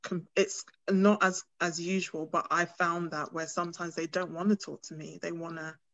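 A woman's voice: lowish voice, flat delivery, moderate pace, a bit bright, no echo, lo-fi sound. A woman who grew up in Britain with Ghanaian roots, a clinician talking casually and thoughtfully with many fillers and restarts. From Ghanaian family, grew up in the UK. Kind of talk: casual podcast conversation.

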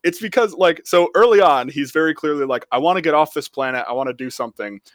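A man chatting casually in a group fan review, rambling and retelling things in animated asides. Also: a little high, fast talker, dry recording, studio quality. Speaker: podcast panelist reviewing a radio drama.

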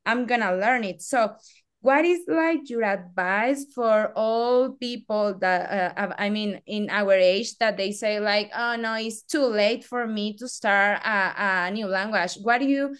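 A woman's voice: mid-range voice, measured pace, clear, resonant tone. A woman co-hosting a podcast, asking questions while searching for her words. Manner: searching for words, many fillers and restarts. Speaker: podcast co-host. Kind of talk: casual podcast conversation.

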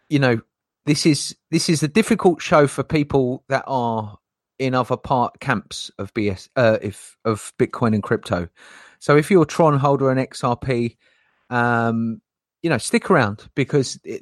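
A man's voice: dry recording, clean studio sound. A man hosting a casual crypto talk show, playful and showy, laughing often. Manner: playful showman, jokey put-on low announcer voice, laughs a lot. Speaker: crypto channel host.

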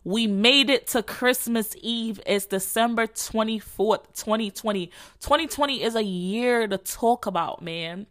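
Young Jamaican woman, solo podcast host, bright and forward voice, casual profane slang. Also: a little high, measured pace, hardly any pauses. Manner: candid, profane, slangy and rambling. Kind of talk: casual solo chat to followers.

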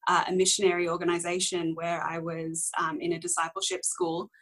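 A woman in her twenties, whose was Korean, speaks with a slightly low voice. She tells her story candidly in a casual interview, wandering and correcting herself along the way. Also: lively intonation, fairly quick, a bit bright. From Australia. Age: young adult. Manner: rambling, candid, many ums and self-corrections. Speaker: interview guest.

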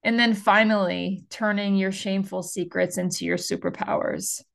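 A woman's voice: mid-range voice, steady tone, moderate pace, gravelly. A middle-aged woman hosting a podcast interview, chatty and upbeat with frequent fillers. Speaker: podcast host.

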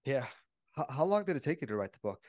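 A man hosting a podcast in casual chat, jokey and quick to laugh. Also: medium pitch, sing-song, a little mellow, no room sound, clean studio sound. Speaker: podcast host.

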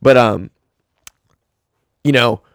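A man's voice: mid-range voice, extremely slow, no room sound, studio quality. A man in his twenties hosting a solo podcast, talking casually and rambling with lots of fillers. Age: 20s. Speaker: podcast host.

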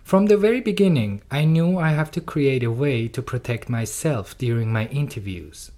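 A person from Iran speaks English in a steady, thoughtful lecture performance. Their is Farsi, and the delivery stays earnest and personal, at a middle pitch. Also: normal speed. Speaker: artist and doctoral researcher.

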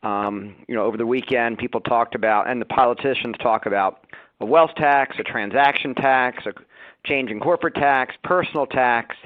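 Halting male analyst on dry phone line. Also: medium pitch, no room sound. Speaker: financial analyst asking questions. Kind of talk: spontaneous question on earnings call.

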